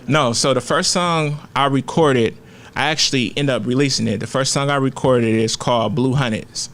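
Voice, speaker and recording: A man's voice: mid-range voice, normal speed, fairly bright tone. Young bay guy, rapper being interviewed, loose and candid, swears a lot. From Oakland, California. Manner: candid, profane, slangy storytelling. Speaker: rapper guest. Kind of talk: casual interview chat.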